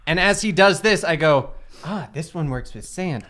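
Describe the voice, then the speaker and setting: A man with a slightly high, lively voice plays a tabletop role-playing game. He talks through his own actions in a rambling, silly, casual way, his pitch moving around a lot. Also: normal speed. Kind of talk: casual joking banter.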